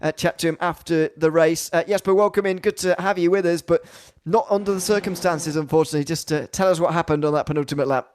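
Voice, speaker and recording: A man hosting live sim racing coverage as commentator and interviewer, with somewhat halting, stop-start phrasing. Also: fairly high pitch, a little mellow, slight background noise. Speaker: race commentator and host.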